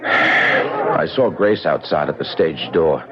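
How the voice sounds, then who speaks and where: An actor playing a concerned, steady, plain-spoken friend in a 1970s radio drama. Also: male voice, rumbling low, slow pace, crowd noise, exaggerated voice. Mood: steady, reassuring. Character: concerned, steady male friend of a ballet impresario. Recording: dull 1970s radio recording with music.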